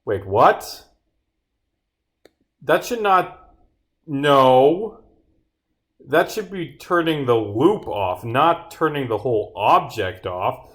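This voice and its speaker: A man's voice: mid-range voice, lively intonation, slow pace, pauses often. A man livestreaming casual commentary as he debugs his game, muttering to himself, exclaiming and swearing. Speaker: game developer streaming. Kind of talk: casual livestream commentary while bugfixing, reading dialogue aloud. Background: game music.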